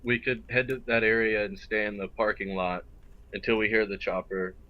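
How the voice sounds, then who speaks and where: Lowish male gamer, dull audio. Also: level tone, normal speed. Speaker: role-play game player. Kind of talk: casual discussion in a game. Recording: slightly dull voice-chat audio.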